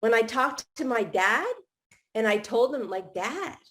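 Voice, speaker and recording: Middle-aged woman, host, slightly high voice, loose run-on conversational delivery. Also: lively intonation, a little slow. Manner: warm-hearted, talks in long run-on thoughts with restarts. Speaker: podcast host. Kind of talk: casual live chat.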